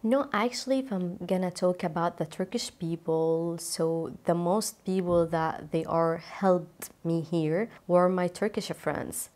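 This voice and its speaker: A woman in her twenties from Gaza speaks English as a second language with a Palestinian Arabic accent. Her voice sits a little low, and she answers as an interview guest in an earnest, explanatory way, leaning on examples and repetition. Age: 20s. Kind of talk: personal interview answers in non-native English. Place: dark studio, indoors. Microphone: lapel mic.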